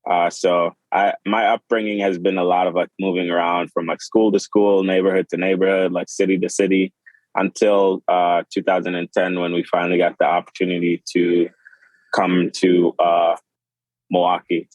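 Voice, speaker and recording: A man in his twenties, from Sudan and Kenya, tells his story as a podcast guest. His voice sits very low and stays flat, with many fillers and restarts. The sound is dry and close. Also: moderate pace, no room sound, rough recording. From born in Sudan, raised in Kenya. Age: 20s. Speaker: podcast guest, clothing designer. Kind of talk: casual interview.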